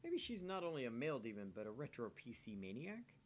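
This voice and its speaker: A man's voice: mid-range voice, big pitch swings, fairly quick. A man voicing a paranoid, grandiose young mad scientist, reading a visual novel aloud in a pompous, theatrical manner. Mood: exasperated, suspicious.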